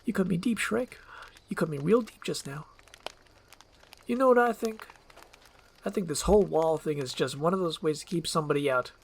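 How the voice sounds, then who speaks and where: A performer voicing a chatty, nosy, excitable male donkey in an acted screenplay reading. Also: medium pitch, normal speed, vocal fry, long pauses, slight background noise, natural voice. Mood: hurt.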